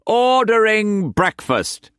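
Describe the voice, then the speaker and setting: A man's voice: high-pitched, big pitch swings, takes it slowly, a bit bright, smooth, dry recording, studio quality, voice pushed up, full character voice. A man voicing a flamboyant, melodramatic old painter who speaks in grand phrases. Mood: jovial. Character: flamboyant, theatrical old male painter, a rogue.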